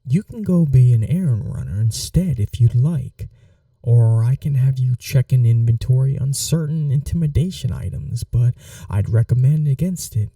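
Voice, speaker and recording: A man playing a calm, flirty, protective mafia boss in a soft-spoken ASMR roleplay, unhurried and reassuring with a teasing edge. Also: medium pitch, vocal fry, no room sound, recorded from a distance. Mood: dry, businesslike.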